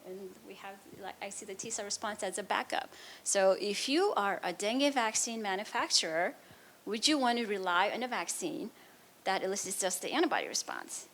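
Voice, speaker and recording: A woman's voice: mid-range voice, very animated, brisk, fairly bright tone, a little hiss or hum. A woman from Nepal, a researcher, giving a public science talk and answering audience questions in an earnest, explanatory way. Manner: earnest, explanatory, frequent restarts. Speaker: immunology researcher.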